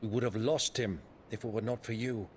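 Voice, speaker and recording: A man's voice: lowish voice, fairly even intonation, fairly quick, slight background noise, natural voice. Courtly older nobleman played by an actor. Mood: concerned. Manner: courtly, old-fashioned. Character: older noble uncle, courtly, fond and good-humoured. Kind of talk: video game cutscene acting.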